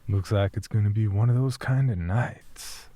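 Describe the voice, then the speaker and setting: An actor playing a flirtatious late-night radio DJ, smooth-talking and seductive, with teasing, breathy half-whispers. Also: male voice, lowish voice, moderate pace, warm tone, dry recording, natural voice. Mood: wry, flirtatious.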